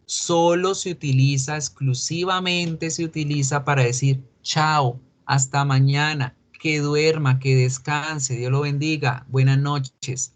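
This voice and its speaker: A man speaking as an English teacher, explaining a recorded lesson patiently and repeating key words slowly. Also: a little high. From Colombia.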